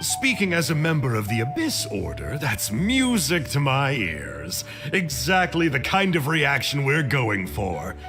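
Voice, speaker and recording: A man's voice: medium pitch, huge pitch swings, a little slow. A man voicing a chirpy, chatty villainous mage in a video game, mock-polite, theatrical and rambling. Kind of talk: video game character acting. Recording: game music underneath.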